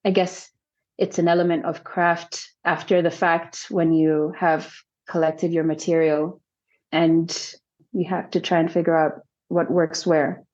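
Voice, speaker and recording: A woman's voice: fairly low pitch, little pitch movement, unhurried, a little mellow, dull audio. A woman filmmaker from South Sudan answering interview questions thoughtfully, often restarting her sentences as she thinks. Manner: thoughtful, many restarts and hedges. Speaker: filmmaker guest.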